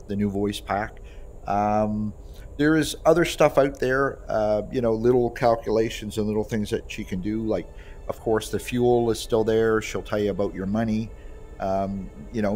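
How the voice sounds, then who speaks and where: A man giving a casual, halting, conversational gameplay demonstration. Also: lowish voice, slight background noise. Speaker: creator demonstrating his voice pack. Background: music behind the voice.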